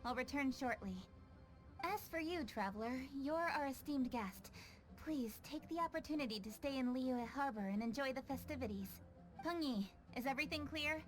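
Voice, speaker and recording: An actress playing a disciplined young official in a video game, businesslike, formal and decisive. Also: female voice, high voice, lively intonation, normal speed, soft background music. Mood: brisk, courteous. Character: brisk, disciplined young female official, courteous. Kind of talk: English video game voice acting.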